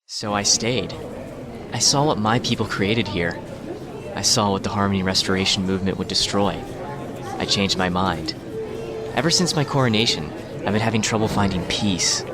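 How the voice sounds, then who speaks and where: A man playing a troubled, proud young ruler in an animated comic dub, grave and firm. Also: fairly low pitch, normal speed, music in the background, natural voice. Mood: solemn.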